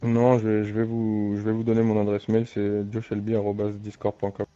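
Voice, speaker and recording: A man playing a composed, authoritative crime family boss in an online roleplay game, speaking formally and in a measured way with hesitant pauses. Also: lowish voice, completely flat delivery, dark tone, smooth, natural voice. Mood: businesslike. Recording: dull lo-fi game voice chat, music.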